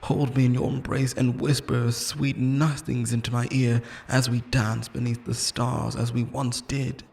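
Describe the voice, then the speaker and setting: A man's voice: medium pitch, level tone, a little slow, vocal fry, few pauses, a little mellow. A man playing an obsessive, lonely immortal lover in an intimate, theatrical roleplay monologue, pleading and possessive, moving between tenderness and menace. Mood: desperate pleading.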